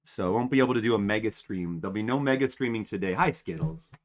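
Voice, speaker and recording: Rambling lower-voiced man in his forties. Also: fast talker. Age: 40s. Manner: rambling, self-mocking, talks straight to viewers. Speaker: game streamer. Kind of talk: casual live-stream chat to viewers. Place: home.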